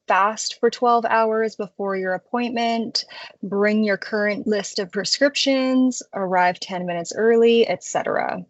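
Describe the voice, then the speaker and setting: Female software tutorial narrator, medium pitch, conversational explainer delivery, dry close-mic sound. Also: no room sound, lo-fi sound. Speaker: software demo presenter. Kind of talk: scripted product tutorial narration.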